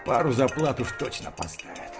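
An actor playing a dry, curt, unsentimental wartime sailor in a Russian radio drama. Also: male voice, mid-range voice, big pitch swings, moderate pace. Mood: dry, offhand. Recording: music behind the voice.

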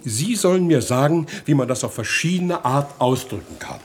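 Foolish rich bourgeois, middle-aged, naive, blustering, exclamatory, very animated intonation, old radio comedy. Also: male voice, mid-range voice, normal speed, breathy edge. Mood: stubborn, insistent. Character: rich, foolish, vain bourgeois, easily delighted and flattered. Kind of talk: 1960s German radio comedy acting.

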